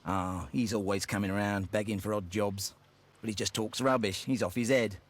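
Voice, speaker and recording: An actor playing a dismissive, menacing London brothel owner in a video game, with an offhand sneer. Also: male voice, on the low side, fairly even intonation, normal speed, natural voice. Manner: offhand, sneering, veiled threats. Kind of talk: dramatic video game acting.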